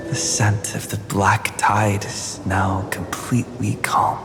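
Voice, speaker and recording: An actor playing a calm, quiet young traveler in a game, speaking in a hushed, breathy way. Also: male voice, fairly low pitch, slow pace, music in the background. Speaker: game character. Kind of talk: game cutscene voice acting. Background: game score.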